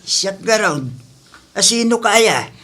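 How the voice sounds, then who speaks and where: A man playing a worried, thrifty old father in a radio drama, questioning and gently reproving with an Ilocano accent. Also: high voice, measured pace. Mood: surprised, welcoming. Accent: Filipino (Ilocano). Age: older.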